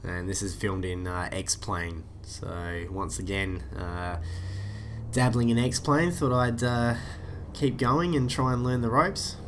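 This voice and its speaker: A man's voice: fairly low pitch, fairly even intonation, slow, fairly bright tone, a little hiss or hum. A man with a head cold that makes him sound nasal, casually commentating his flight simulator session and often losing his thread. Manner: rambling, many "uh" fillers, easily sidetracked. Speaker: flight sim YouTuber.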